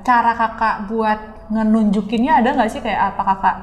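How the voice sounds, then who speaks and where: A woman hosting a casual interview, chatty and quick to chime in with agreement. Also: fairly high pitch. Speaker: podcast host interviewing a guest. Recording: echoey room with long reverb.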